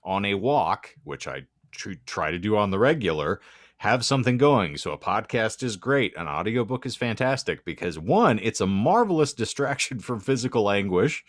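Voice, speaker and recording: A man's voice: on the low side, big pitch swings, moderate pace, no echo, clean studio sound. A man co-hosting a casual podcast discussion, droll and wordy with mock-formal phrasing. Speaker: podcast co-host.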